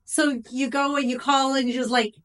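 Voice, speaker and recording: A woman's voice: high voice, steady tone, normal speed, fairly bright tone. A middle-aged woman counselor talking casually in an interview, chatty and repetitive, often saying "you know" and "right". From Greek family background. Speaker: therapist guest.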